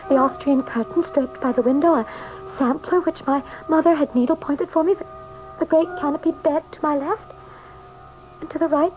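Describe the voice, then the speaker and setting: High-voiced, frightened society wife, tinny radio. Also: female voice. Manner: breathy gasps, stammering, melodramatic. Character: frightened, guilt-ridden society wife, shaken and haughty. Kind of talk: old-time radio mystery acting, narrating and in dialogue. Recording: tinny, noisy old radio drama recording.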